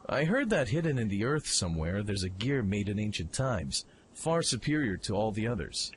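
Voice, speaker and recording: A man playing a brash, eager young mech pilot in an audio drama, informal and excitable in his delivery. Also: lowish voice, moderate pace, slight background noise. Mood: curious, insistent. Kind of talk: fan audio drama acting.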